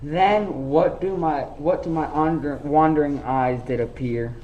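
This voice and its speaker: A man playing an earnest professor and family father, haltingly recounting a marvel in a radio play. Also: a little high, lo-fi sound, lightly acted. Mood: wondering. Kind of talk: radio play storytelling in verse. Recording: echoey room, faint noise.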